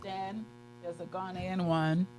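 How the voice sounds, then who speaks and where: A woman's voice: fairly low pitch, flat delivery, slow pace, crowd noise, rough recording. A woman co-hosting a morning radio show, telling playful stories in casual chat. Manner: playful, chatty storyteller. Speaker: radio co-host. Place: radio studio.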